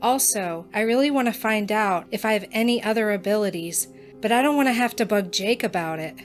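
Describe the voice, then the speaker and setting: A woman's voice: mid-range voice, brisk, faint music behind, close to an everyday voice. An actress playing a practical young female student with magic powers who talks earnestly and keeps proposing plans.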